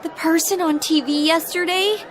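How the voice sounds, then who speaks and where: An American woman voicing a feisty, excitable teenage schoolgirl in a video game, speaking in an emphatic, informal way. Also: extremely high pitch, moderate pace. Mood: anxious. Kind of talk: English video game voice acting. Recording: background music and crowd chatter behind.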